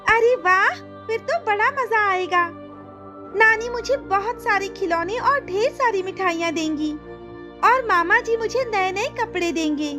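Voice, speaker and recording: Woman voicing a curious high-pitched little princess girl. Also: a little slow, sharp tone, faint music behind, exaggerated voice. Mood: excited. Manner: inquisitive, childlike, pleading. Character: curious seven-year-old princess girl, innocent and pouty. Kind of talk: cartoon moral story acting.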